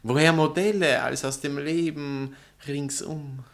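An actor playing a proud, sardonic novelist in a stage comedy, speaking in formal, grandiloquent, ironically polite phrases. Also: male voice, on the high side, fairly even intonation, a little slow. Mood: lofty, provocative. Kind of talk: theatrical stage comedy dialogue.

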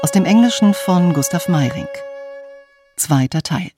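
An announcer formally reading a radio drama's title and credits in a deliberate manner. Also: medium pitch, animated. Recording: announcement over violin music. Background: violin music.

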